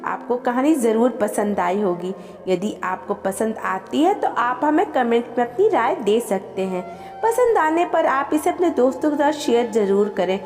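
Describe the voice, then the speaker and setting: A woman reading a scripted, emotional family story as an expressive storyteller. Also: on the high side, normal speed. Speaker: storyteller and channel host. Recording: long reverb with music behind. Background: background music.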